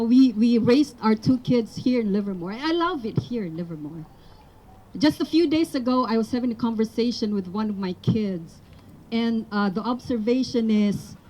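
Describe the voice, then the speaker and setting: A woman's voice: fairly high pitch, darkish tone, low-quality recording. A woman from the Philippines whose first language is Tagalog, giving an earnest public speech at a rally outdoors. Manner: earnest, building to emphatic appeals. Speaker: rally speaker.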